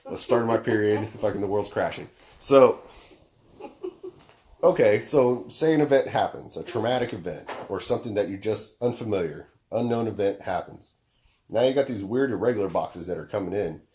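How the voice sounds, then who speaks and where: A young man with a deep baritone voice, talking casually on a podcast, laid-back and swearing freely as he explains through long stories. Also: moderate pace, darkish tone, slight background noise. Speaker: podcast co-host.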